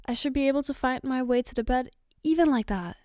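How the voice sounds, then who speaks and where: A woman playing a caring, flustered girlfriend in an ASMR roleplay, speaking gently and haltingly to comfort her partner. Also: high voice, no room sound, clean studio sound, lightly acted. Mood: gentle, hesitant. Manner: hesitant, gentle, reassuring, halting. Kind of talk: ASMR roleplay, spoken to the listener.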